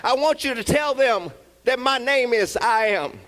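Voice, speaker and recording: A man voicing a commanding divine stage director, proclaiming in a booming voice. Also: expressive, measured pace, fairly bright tone, exaggerated voice.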